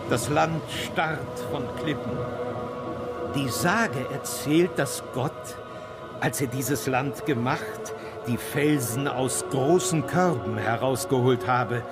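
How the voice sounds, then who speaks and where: An actor playing an admiring court companion, telling of a sea journey deliberately, like a storyteller, in a radio drama. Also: male voice, mid-range voice, loud music behind the voice, natural voice. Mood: storytelling. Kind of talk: radio drama memoir reading.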